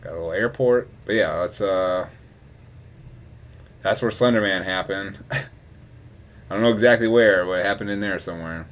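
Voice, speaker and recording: A young man from Wisconsin talks. He streams live and rambles casually between asides. He laughs often, and his volume swings between loud bursts and quiet mumbling. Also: deep voice, moderate pace, long pauses. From Wisconsin, USA.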